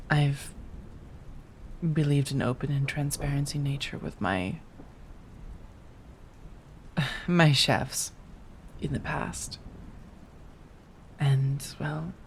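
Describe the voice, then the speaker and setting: A woman voicing a remorseful, possessive female vampire in an ASMR roleplay monologue, speaking in measured, halting, formal phrases with sighs. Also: deep voice, darkish tone, a little hiss or hum. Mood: reluctant, confessional.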